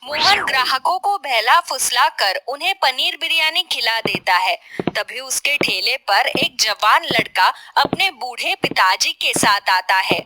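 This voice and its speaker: A woman's voice: sits high, animated, a little slow, pushed and bright, no room sound, rough recording. A woman reading scripted storybook narration for a cartoon tale. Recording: music bed behind the voice.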